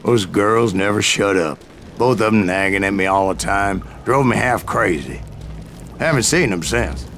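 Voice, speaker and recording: An actor playing an aging, wry Old West bounty hunter, telling stories in a folksy American voice. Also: male voice, fairly low pitch, expressive, measured pace, fairly bright tone, a little hiss or hum. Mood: wry, dry. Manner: folksy, wry, colorful turns of phrase. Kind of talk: scripted storytelling narration.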